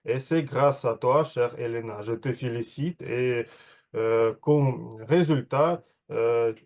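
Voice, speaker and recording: Slow hesitant man giving an evaluation. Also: mid-range voice, darkish tone. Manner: hesitant, many fillers. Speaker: meeting evaluator. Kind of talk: giving an evaluation at a speaking club.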